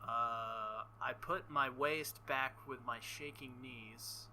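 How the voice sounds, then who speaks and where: A man reading a visual novel aloud with casual commentary, halting and embarrassed, often breaking into nervous laughter. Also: mid-range voice, fairly even intonation, sharp tone, a little hiss or hum. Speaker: let's play host.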